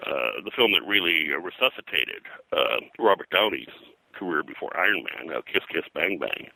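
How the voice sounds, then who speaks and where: An older man talking as an interview guest, explaining things in detail with a halting, conversational delivery. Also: deep voice, lively intonation, gravelly, pushed and bright, no room sound, poor audio. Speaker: interview guest, pop culture historian. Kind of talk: conversational interview, recollection and commentary.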